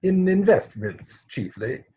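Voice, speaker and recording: Man playing a flustered, husky young gentleman. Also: high voice, very animated, takes it slowly, heavily acted. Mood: nervous, deferential. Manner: hesitant, formal, deferential. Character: earnest, flustered young English gentleman suitor, polite and anxious. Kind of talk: theatrical comedy dramatic reading.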